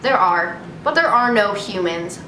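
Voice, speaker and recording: A woman's voice: expressive, measured pace, pushed and bright, recorded from a distance. Irreverent young woman host, echoey noisy room. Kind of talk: reading news aloud with casual, sarcastic commentary. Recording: echoey room with noticeable rumbling noise.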